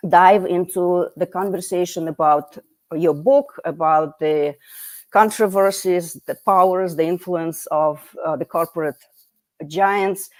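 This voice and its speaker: A woman's voice: lowish voice, unhurried, darkish tone, low-quality recording. A woman hosting an online interview over a video call, talking in a friendly, chatty way with plenty of fillers and restarts. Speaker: host.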